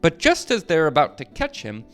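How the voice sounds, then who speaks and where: A man reads a children's book aloud. His voice is a little high and swings widely with dramatic, expressive emphasis. Soft music plays underneath. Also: normal speed, clean tone. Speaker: storybook narrator. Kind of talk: animated children's read-aloud narration.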